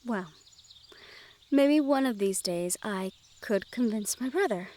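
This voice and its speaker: A woman playing a sheltered young noblewoman in a fantasy audio roleplay, gentle, wistful and quietly willful, with formal phrasing. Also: a little high, lively intonation, slow. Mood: playful, fond. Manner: formal speech with few contractions. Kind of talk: fantasy audio roleplay acting, addressed to the listener.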